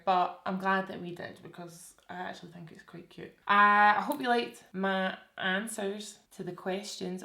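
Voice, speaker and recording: A woman's voice: medium pitch, sharp tone. A young woman chatting candidly to camera while doing her makeup, self-deprecating and open. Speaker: beauty vlogger. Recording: echoey room with faint music.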